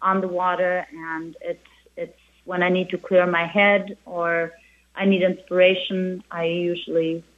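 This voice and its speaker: A woman's voice: fairly low pitch, little pitch movement, measured pace. A middle-aged woman from Germany, a German native speaker and restaurant owner, chatting as an interview guest with many restarts and "you know"s. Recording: phone-like narrow band.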